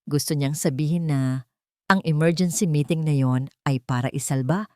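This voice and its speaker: A woman's voice: low voice, moderate pace, darkish tone, dry recording, clean studio sound. A woman with a Filipino accent narrating a scripted dramatic story.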